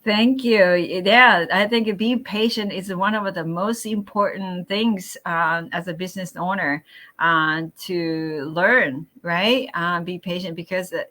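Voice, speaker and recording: A woman's voice: on the low side, takes it slowly, sharp tone. A woman with a Japanese accent, originally from Japan, hosting a casual group discussion. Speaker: host of a group chat.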